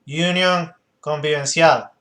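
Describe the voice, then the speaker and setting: A man's voice: on the high side, steady tone, bright, forceful tone. A man from Colombia with a Colombian accent, a native Spanish speaker, commenting on a clip in English while often stopping and restarting as he hunts for words. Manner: halting, searching for words, many restarts. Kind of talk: reaction commentary.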